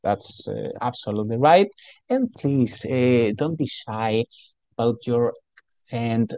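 A man's voice: mid-range voice, lively intonation, slow pace, mellow, no echo, lo-fi sound. A man with a strong Spanish accent talks over training slides in a chatty way, often asking "okay?" and saying things twice. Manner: chatty, repeats himself, asks "okay?". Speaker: pitch trainer.

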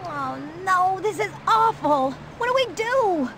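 High, animated young skater girl. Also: slow, background noise, heavily acted. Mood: dismayed. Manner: eager, expressive. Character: lively young female skater and comic artist. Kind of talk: scripted video game cutscene dialogue. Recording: game audio with music behind. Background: game music.

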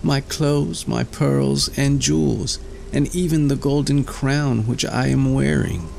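A man putting on a higher-pitched haughty young princess. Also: steady tone, takes it slowly, gravelly, darkish tone, a little hiss or hum. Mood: eager promising. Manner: archaic, exclamatory speech. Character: haughty, fickle young princess from a fairy tale.

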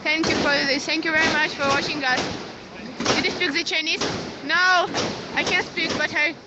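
High-voiced Ukrainian woman streaming outdoors. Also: flat delivery. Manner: halting, searching for words, grateful. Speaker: live streamer. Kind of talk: live street stream, reading comments. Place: outdoors in the cold. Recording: muffled lo-fi phone stream, loud background noise.

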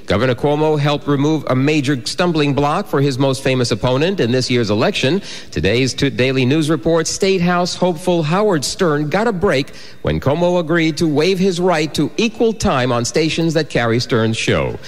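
High-pitched American male newsreader with long reverb. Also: normal speed. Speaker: radio newsreader. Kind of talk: scripted radio news, sports and weather bulletin. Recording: long reverb, 1990s FM radio broadcast.

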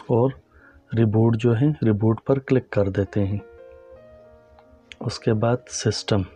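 A man calmly narrating a step-by-step phone tutorial and talking to viewers as friends. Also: on the low side. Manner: calm, instructive, addresses viewers as friends. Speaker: tech tutorial host.

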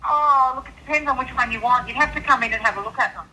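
A woman's voice: sits high, fast, sharp tone. A woman with an Australian accent working as store staff, patiently explaining things on a customer service call. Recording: noisy phone call over speakerphone.

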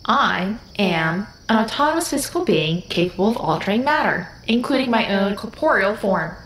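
Curious alien hybrid lady, super formal and literal, medium pitch, echoey audio drama. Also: sing-song, normal speed, a bit bright, a little hiss or hum. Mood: detached, literal. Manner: formal, precise, literal, detached. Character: literal-minded young female alien-human hybrid, curious and emotionless.

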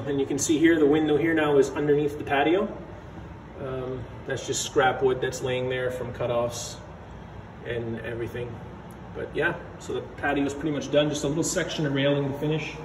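A man casually narrating his own basement repairs while filming on his phone, rambling and matter-of-fact with many fillers. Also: on the high side. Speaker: DIY home renovator. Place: concrete basement. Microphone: phone camera held while walking. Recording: echoey basement with background noise.

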